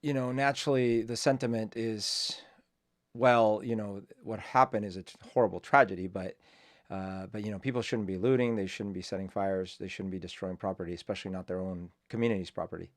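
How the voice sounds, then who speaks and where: A man's voice: lowish voice, fairly even intonation, fairly quick. A man who grew up in Colombia hosts his own show, giving reflective, rambling commentary full of fillers and restarts. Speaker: host. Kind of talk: casual commentary.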